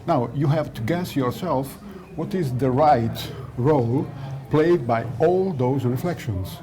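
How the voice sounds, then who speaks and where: A man's voice: medium pitch, slow. A man giving a technical explanation in an interview, didactic and often searching for words, at a noisy trade show. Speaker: loudspeaker designer being interviewed. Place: audio trade show in Milan.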